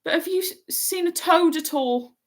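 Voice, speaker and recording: A woman's voice: very high voice, normal speed, pitched above the performer's own voice. A woman voicing a timid young schoolboy who is tearful and miserable. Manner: miserable, wailing.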